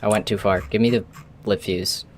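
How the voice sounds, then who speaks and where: A man's voice: fairly low pitch, fairly even intonation, moderate pace, a little hiss or hum. A man streaming a video game, talking casually to his chat in a chatty, rambling way with the odd swear. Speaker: gaming streamer. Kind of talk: casual live-stream commentary while playing.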